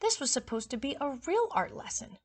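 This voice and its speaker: A woman reading a story aloud to young children in an expressive, chatty way. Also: sits high, a little fast.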